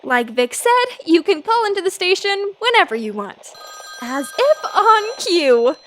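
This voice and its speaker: A woman's voice: extremely high pitch, sing-song, fairly bright tone, a little hiss or hum, heavily acted. An actress playing a feisty, easily flustered young woman reporter in a 1940s-style radio drama. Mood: breezy. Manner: brash, quick to get flustered. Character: feisty young female newspaper reporter, flustered but defiant. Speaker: reporter filling in as radio host.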